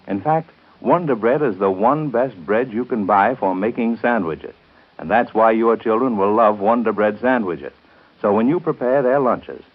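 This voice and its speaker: A male commercial announcer from 1930s radio. He is persuasive and emphatic, with a medium-pitched, polished delivery. The recording is a bit muffled. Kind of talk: scripted 1930s radio advertisement.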